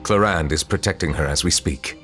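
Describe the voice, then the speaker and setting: A man voicing a solemn, formal and measured chief justice in a video game. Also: low voice, a bit bright, faint music behind.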